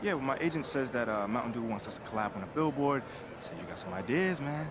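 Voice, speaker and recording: A man's voice: mid-range voice, animated, fast, close to an everyday voice. A man playing a laid-back, teasing young pro basketball player in casual, slangy game cutscene dialogue. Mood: amused, relaxed. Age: young adult. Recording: clear outdoor urban background noise.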